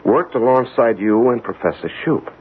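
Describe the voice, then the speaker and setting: An actor playing a dogged, anxious investigator with a halting, probing delivery in a 1970s radio drama. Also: male voice, mid-range voice, natural voice. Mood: gentle, urgent. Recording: tinny, faintly hissy 1970s radio broadcast.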